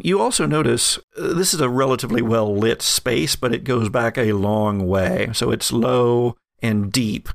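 A man narrating as game master of a tabletop game in a relaxed, rambling way. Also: mid-range voice, vocal fry, no echo, studio quality. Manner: relaxed, rambling, with many fillers.

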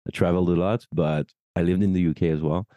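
A man's voice: deep voice, steady tone, quick pace, dark tone, no room sound, highs cut off. A man from Paris with a French accent, hosting a casual conversational podcast interview. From France, raised in Paris.

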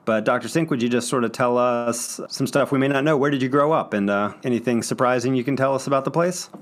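Podcast host, male, steady panel moderator reading study summaries. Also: mid-range voice, quick pace. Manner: introduces guests, reads abstract summaries. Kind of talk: hosting a medical podcast panel.

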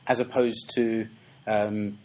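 Relaxed low-voiced male podcast host. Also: slow. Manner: relaxed, hesitant with frequent restarts and fillers. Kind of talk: casual interview.

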